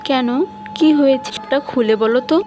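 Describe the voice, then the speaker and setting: Cartoon casting: practical, caring village wife played by a woman, raised pitch, coaxing concern, faint music. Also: normal speed, dark tone, close to an everyday voice. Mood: worried. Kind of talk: animated moral story dialogue. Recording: voice over light background music.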